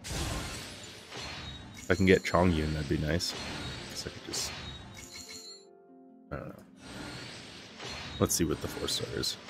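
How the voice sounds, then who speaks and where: Low-voiced man casually commenting on a game. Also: steady tone, slow, pauses often. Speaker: gaming video host. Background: game music.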